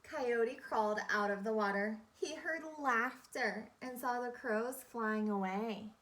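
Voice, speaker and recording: A woman speaking as an elementary teacher, leading an interactive read-aloud for young children in a deliberate, instructive way, repeating key phrases and questions. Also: a little high, a bit bright, a little hiss or hum, poor audio.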